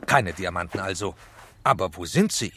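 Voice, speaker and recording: A man playing a brisk SWAT team chief in a children's audio drama, speaking in terse, formal military jargon. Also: deep voice, expressive, pushed and bright, a little hiss or hum. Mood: businesslike.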